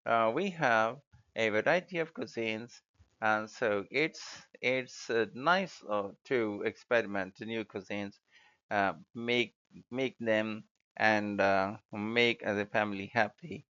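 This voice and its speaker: A man with an Indian accent giving hesitant, halting answers as a candidate in a spoken exam, on a dull recording. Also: lowish voice, sharp tone, clear, resonant tone. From Mumbai, India. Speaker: speaking test candidate. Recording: dull lo-fi audio.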